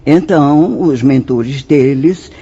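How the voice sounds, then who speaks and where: A man's voice: medium pitch, takes it slowly, warm tone, slightly rough, dull audio. An actor in a radio drama playing a solemn, fatherly spirit mentor who instructs newcomers in a formal, emphatic way. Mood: grave, emphatic. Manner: formal, didactic, theatrically emphatic.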